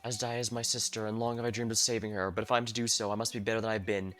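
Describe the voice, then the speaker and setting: A man's voice: on the low side, flat delivery, very fast, fairly bright tone, faint music behind, lightly acted. A man reading aloud the game dialogue of an earnest, self-doubting fantasy hero in formal wording.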